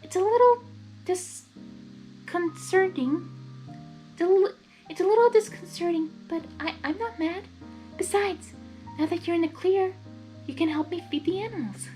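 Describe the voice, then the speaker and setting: Sweet storybook pony, woman acting her: high, hushed, breathy, kindly and patient but firm, over faint music. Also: measured pace, long pauses. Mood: gentle. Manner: tender, coaxing. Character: gentle, soft-spoken female pony, kindly but quietly firm.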